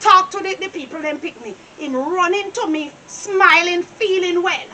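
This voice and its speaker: A woman playing a fiery, devout Jamaican grandmother in a drama, scolding loudly and repeating her questions. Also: very high-pitched, normal speed. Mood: stern, exasperated. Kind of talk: acted comedy drama dialogue. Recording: distant mic with some background noise.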